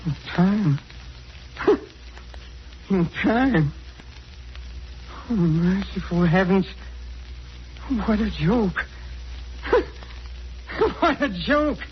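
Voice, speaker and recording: A man's voice: sits high, expressive, heavily acted. Tormented man in noisy old radio horror. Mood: hysterical. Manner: melodramatic, halting, stammering repetitions. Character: tormented, ugly, clumsy man, guilt-ridden killer, near hysteria. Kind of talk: 1940s radio horror acting, first-person narration and dialogue. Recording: muffled, noisy vintage radio recording.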